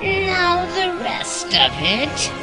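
A woman's voice: very high-pitched, wildly swooping pitch, extremely slow, heavily acted. A woman voicing a seductive, domineering male alien crime prince with a silky, imperious manner. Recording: loud music under the voice.

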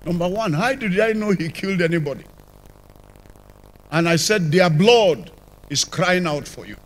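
An older man with a Nigerian accent, telling his conversion testimony as a church apostle, with sudden rises and drops in loudness, on a handheld mic in a large church. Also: sits high, lively intonation, normal speed. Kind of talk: testimony sermon. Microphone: handheld microphone.

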